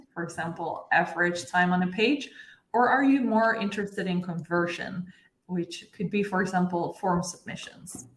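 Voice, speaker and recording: A woman's voice: medium pitch, reverberant. A woman in her thirties hosting a live online webinar, speaking over a video call from an office room. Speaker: webinar host. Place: bright office room with glass walls, indoors.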